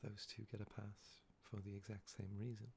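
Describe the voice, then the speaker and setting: A man speaking softly and often in a whisper for an ASMR video, his voice a bit hoarse, rambling with many pauses and fillers. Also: lowish voice, completely flat delivery, a little hiss or hum. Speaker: ASMR creator. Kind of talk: soft-spoken ASMR talk, whispering.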